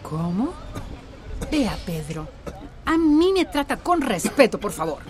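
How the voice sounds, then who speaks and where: An actress playing a proud, prickly village healer in a radio drama, curt and dignified. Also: female voice, a little high, big pitch swings, slow pace, crowd noise. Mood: offended, stern. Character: proud, prickly village herbal-remedy woman.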